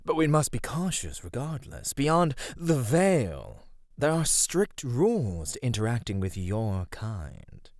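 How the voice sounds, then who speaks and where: A man's voice: medium pitch, wildly swooping pitch, takes it slowly, darkish tone, no echo, full character voice. A man voicing a flirty, vain male god of divine radiance in an ASMR roleplay, speaking in a teasing, affectionate way. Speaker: voice actor.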